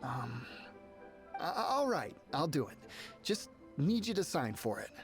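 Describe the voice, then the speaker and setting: A man's voice: a little high, sing-song, measured pace, creaky, soft background music, full character voice. An actor voicing a polite, businesslike male foreign trader in a game. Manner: polite, flustered when pressed. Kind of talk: game voice acting.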